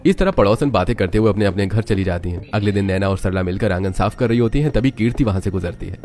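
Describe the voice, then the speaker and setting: A man narrates a scripted family story. His voice is a bit low and the delivery is fast, with light music underneath. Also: darkish tone.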